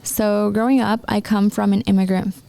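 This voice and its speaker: A woman of twenty-three, raised in the United States, talking casually and haltingly in a personal podcast monologue. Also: medium pitch, little pitch movement, gravelly, mellow. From born in Mexico, raised in the United States. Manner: halting, restarts her sentences repeatedly. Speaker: podcast host and entrepreneur.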